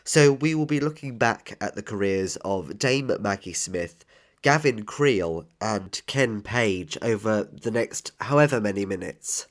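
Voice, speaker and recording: A man hosting a tribute podcast, nasal and a bit bunged up with a cold, earnestly listing credits with enthusiasm. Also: fairly low pitch. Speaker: podcast host. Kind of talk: scripted tribute podcast narration.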